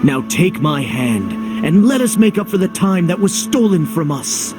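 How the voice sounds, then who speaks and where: An actor playing a young game hero who shifts between earnest love declamations and dry deadpan. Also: male voice, high voice, normal speed, over music. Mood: ardent. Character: young male hero reciting theatrical love speeches, reluctantly wry. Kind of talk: video game cutscene acting.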